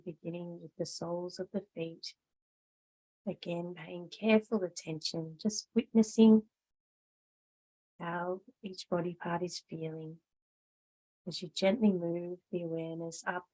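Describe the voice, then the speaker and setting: A woman with a slightly low voice leads a yoga class as its teacher. She speaks very slowly and gently, giving measured instructions in the style of a guided meditation. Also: low-quality recording. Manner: slow, gentle, measured guiding.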